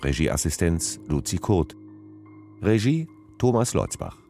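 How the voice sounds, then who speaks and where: A man's voice: deep voice, sing-song, a little slow, gravelly, dark tone. A man formally reading scripted credits as the announcer of a radio drama. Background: music.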